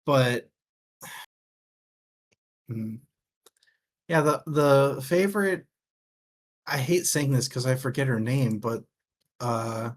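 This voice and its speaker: A man with an average-pitched voice talks through a book in a casual, halting way. He takes his time, often searching for the next phrase, and drops in offhand swearing. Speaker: co-host of a book discussion show.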